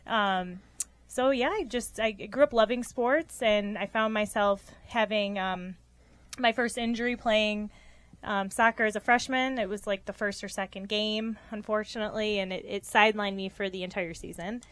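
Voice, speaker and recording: A woman's voice: medium pitch, normal speed, fairly bright tone, dry recording. A young woman from Rochester, New York, a physical therapist guest giving earnest interview answers with frequent ums.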